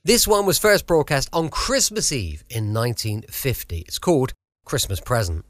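Animated British podcast host, dry close sound. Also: male voice, mid-range voice, normal speed, no echo. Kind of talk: chatty podcast introduction.

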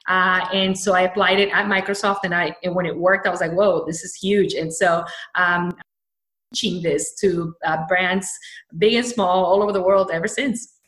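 A woman's voice: fairly low pitch, steady tone, normal speed, a bit bright. A woman from Venezuela, a brand storyteller, giving an upbeat podcast interview with many fillers and restarts. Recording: echoey room.

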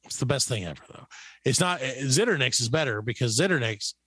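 A man's voice: mid-range voice, expressive, fairly quick, a bit bright, breathy edge, no echo, rough recording. A middle-aged man hosting a show with casual comedic banter, rambling and mock-boastful. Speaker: show host.

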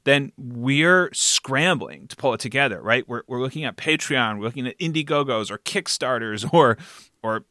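Podcast interviewer, male, bright forward voice, dry close sound, casual and conversational, checks in often. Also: mid-range voice, normal speed, no room sound. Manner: animated rhetorical check-ins, often ending phrases with "right?". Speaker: podcast interviewer and fiction podcast creator.

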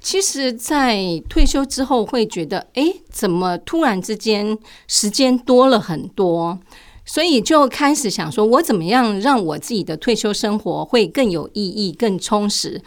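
A woman's voice: mid-range voice, expressive, unhurried. An older retired woman with a Taiwanese Mandarin accent, answering interview questions conversationally as a guest and sharing her own experiences.

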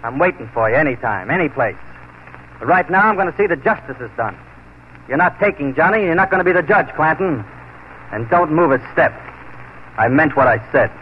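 A man's voice: fairly high pitch, lively intonation, fairly quick, pauses often, lightly acted. An actor playing a fearless, principled frontier lawman in a 1940s Western radio drama, speaking firmly, dryly and plainly. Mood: firm, commanding. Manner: firm, dry, plain-spoken. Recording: muffled, noisy 1940s radio recording.

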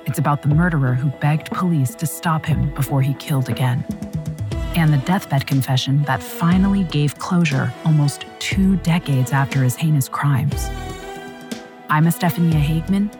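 A woman's voice: low-pitched, moderate pace, music in the background. A woman hosting a true crime podcast, reading a scripted, dramatic story.

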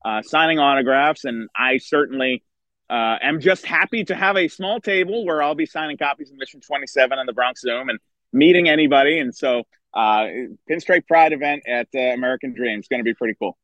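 A middle-aged man, a baseball writer guesting on a podcast, chatting enthusiastically outdoors with a hoarse voice and many restarts. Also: medium pitch, brisk, fairly bright tone, dry recording, dull audio. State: hoarse from screaming at a concert. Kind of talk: casual podcast chat. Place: outdoors by a river.

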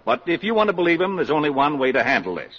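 A man's voice: a little high, sing-song, fast. A man playing a skeptical, emphatic police commissioner in a 1950s American radio drama. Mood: protesting. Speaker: actor. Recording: dull vintage 1950s radio recording.

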